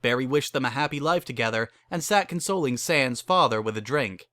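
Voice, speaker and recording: A man narrates a story as a dramatic reading. His voice is bright and mid-pitched, recorded very dry and close. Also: expressive, fairly quick, dry recording. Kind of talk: dramatic reading of fan fiction.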